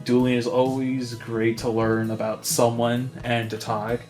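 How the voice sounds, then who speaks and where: A man's voice: medium pitch, monotone, measured pace, a little mellow, soft background music. A man playing a tabletop roleplaying game, narrating his character's actions in a casual, improvised way.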